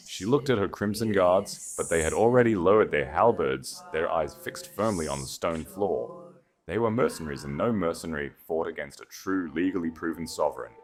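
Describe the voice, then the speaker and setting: A man reading a dramatic story as an audiobook narrator, solemn and with deliberate emphasis. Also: low voice.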